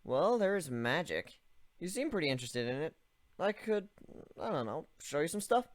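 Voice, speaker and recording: A man's voice: fairly high pitch, very animated, normal speed, dry recording, pitched above the performer's own voice. A man playing a cheery young griffin. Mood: friendly. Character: friendly young griffin, upbeat and eager.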